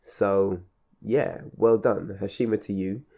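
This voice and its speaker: A man speaking reflectively as an interviewer, in measured, formal wording with hesitant pauses. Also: on the low side, steady tone, gravelly, heavy background noise.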